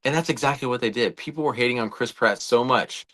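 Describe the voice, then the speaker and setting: A man's voice: medium pitch, fast, fairly bright tone. An American man co-hosting a show, explaining his opinions in an emphatic, conversational way. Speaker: show co-host. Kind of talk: casual opinionated chat.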